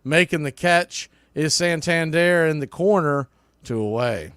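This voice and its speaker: Higher-pitched man calling live baseball play-by-play. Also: a little slow, smooth, no room sound, studio quality. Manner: clipped play-by-play phrasing, bursts of excitement on hit balls. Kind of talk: live sports radio commentary.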